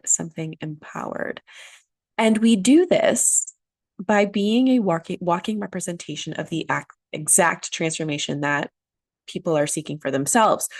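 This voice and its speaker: Woman, podcast host, nasal from a cold, low gravelly voice, dry close sound, earnest and emphatic. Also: no room sound. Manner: earnest, emphatic, repeats key phrases. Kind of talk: solo motivational podcast talk.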